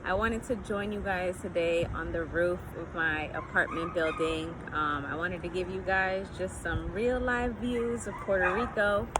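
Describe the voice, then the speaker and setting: A woman's voice: on the low side, measured pace, few pauses. A young woman from Connecticut vlogging casually on an apartment rooftop, upbeat and chatty with frequent ums. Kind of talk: casual vlog talk to camera. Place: outdoors on an apartment building roof. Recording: outdoor street noise, loud. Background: loud urban noise, traffic and animals.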